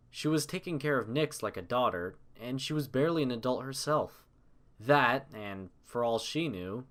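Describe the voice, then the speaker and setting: A man reading scripted story narration in a measured, dramatic manner. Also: medium pitch.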